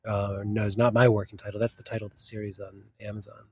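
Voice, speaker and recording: A man's voice: lowish voice, flat delivery, fast, breathy edge, no room sound. A man who works as a literary translator, talking in a casual interview, thoughtful and often searching for the right words mid-sentence.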